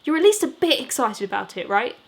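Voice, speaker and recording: A woman reading the part of a brash, excitable young male boyband member in an audiobook, blunt and bouncy. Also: high-pitched, fairly quick, voice pushed up. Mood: eager.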